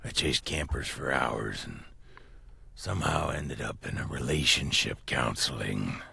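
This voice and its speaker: A man voicing a menacing camp killer who has turned weary and sensible, speaking slowly and deadpan in a comedic horror sketch. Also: slight background noise, exaggerated voice. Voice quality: gravelly, raspy, rumbling. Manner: slow, deliberate, deadpan.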